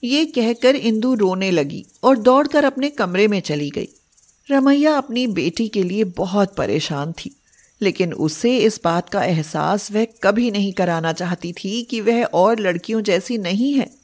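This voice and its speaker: Slow-paced woman narrating a story. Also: mid-range voice, expressive, darkish tone.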